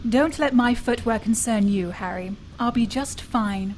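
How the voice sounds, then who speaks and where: A proud, prim young British woman, acted by a woman as a detective's partner in a radio drama. Her voice is high and emphatic, with some ambient noise behind it. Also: measured pace, lightly acted. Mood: dry, composed. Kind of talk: audio drama acting.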